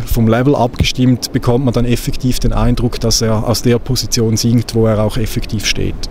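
A man gives a measured, hesitant technical explanation as a sound engineer, speaking outdoors into a handheld microphone. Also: mid-range voice, darkish tone. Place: outdoors on an open-air stage. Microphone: handheld microphone held at chest. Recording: clear outdoor traffic and ambient noise.

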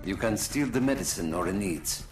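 Icy game villain, grave obsessed scientist, lowish voice with dramatic swings. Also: male voice, animated, normal speed. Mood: cold, grave. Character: cold, grave, obsessed male scientist supervillain in cryogenic suit. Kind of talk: video game character acting. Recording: loud music behind.

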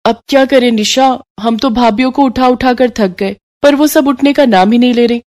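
A woman's voice: a little high, normal speed, a little mellow, natural voice. Woman playing a dutiful, crafty young daughter-in-law. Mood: concerned. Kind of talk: cartoon story acting.

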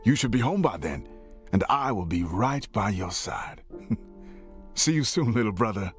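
An actor playing an affectionate, proud older brother in a video game, reading a hopeful letter in an earnest, expressive way. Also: male voice, fairly low pitch, unhurried. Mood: fond, cheerful. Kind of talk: scripted dramatic letter narration. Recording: music under the voice.

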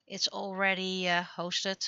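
Bright-voiced woman musing on a livestream. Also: mid-range voice, completely flat delivery, unhurried, smooth, dry recording, muffled recording. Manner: musing, half to herself, trailing off. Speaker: livestreaming webcomic artist. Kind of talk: casual livestream chat while drawing.

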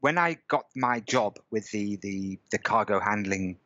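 A man's voice: on the low side, unhurried, pushed and bright, dry recording, muffled recording. A man in his fifties, a guest on a video call from a room at home, telling a story casually and checking in often with "okay?" and "right?". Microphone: headset on a video call.

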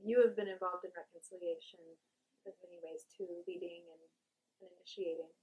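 Soft-spoken female interviewer, mellow. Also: lowish voice, fairly even intonation, brisk, breathy edge, poor audio. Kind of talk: off-camera interview questions.

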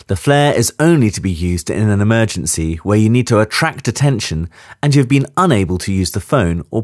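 Lively-pitched man reading safety instructions. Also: dry recording, clean studio sound. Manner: clear, measured instructional delivery. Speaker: safety briefing narrator. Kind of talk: scripted voice-over instructions.

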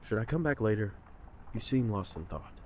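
A man's voice: fairly low pitch, expressive, normal speed, darkish tone, a little hiss or hum, close to an everyday voice. An actor playing a casual, perceptive young male royal guard in an audio drama. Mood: gentle, concerned.

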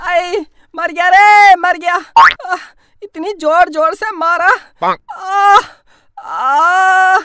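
A lazy, bragging cartoon schoolboy, voiced by a woman, whiny and slow in his drawl, his very high voice leaping around. He talks big, then howls with pain. The recording carries a clear hiss. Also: sing-song, sharp tone, full character voice. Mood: wailing. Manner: whiny, boastful, wailing. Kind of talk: Hindi cartoon voice acting.

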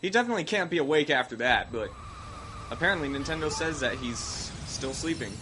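A man's voice: on the high side, lively intonation, fairly quick, noisy background. A young man with a Midwestern American accent, sick and coughing, rambling through casual gameplay commentary with self-mocking asides. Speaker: let's play host. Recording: game music playing loud underneath. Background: game music.

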